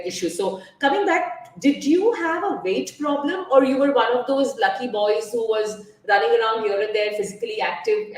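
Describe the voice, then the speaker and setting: A woman's voice: on the high side, distant mic. Woman, echoey and set back from the mic. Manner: open and personal, with frequent "uh" fillers and restarts. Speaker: nutrition guest. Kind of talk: casual interview conversation.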